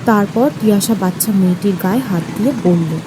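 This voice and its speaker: A woman's voice: medium pitch, brisk, noisy background. A woman reading scripted narration for an audio story.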